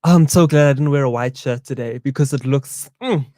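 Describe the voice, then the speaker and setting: A man's voice: mid-range voice, a little fast, dark tone, dry recording, studio quality. A young man from South Africa co-hosting a casual live-stream chat over a meal, playful and talkative. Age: young adult. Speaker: co-host.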